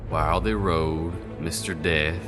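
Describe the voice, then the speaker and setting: Deep-voiced storyteller over music. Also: male voice, fairly even intonation, measured pace. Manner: folksy, colloquial tall-tale delivery. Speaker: narrator. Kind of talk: folksy scripted storytelling for radio drama.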